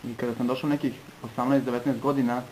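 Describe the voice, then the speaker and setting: A man from Serbia giving an earnest personal testimony in short phrases, pausing between them for an interpreter. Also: medium pitch, level tone, a little mellow, slight background noise, rough recording.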